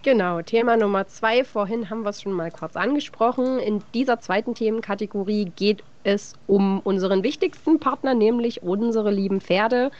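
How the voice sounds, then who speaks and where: An older woman presents entries on a live stream and speaks into a headset. Her voice sits in a middle register and comes through a slightly muffled video-call line. She announces in a clear, friendly way. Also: mid-range voice, normal speed, hardly any pauses, darkish tone. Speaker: co-host introducing the entries.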